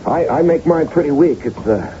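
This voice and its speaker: An actor in a radio drama playing a middle-aged heart patient, stubborn and anxious, talking hesitantly and defensively. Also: male voice, fairly high pitch, expressive, normal speed, dark tone, lightly acted. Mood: hesitant, uneasy. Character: stubborn, anxious man recovering from a heart attack. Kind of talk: 1980s radio drama acting. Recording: dull, hissy old radio recording.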